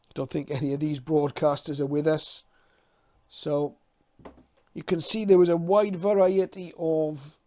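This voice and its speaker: Middle-aged man, relaxed dark-soft timbre, flat intonation, hesitant, informal hobbyist commentary. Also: on the high side. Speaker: presenter, radio hobbyist.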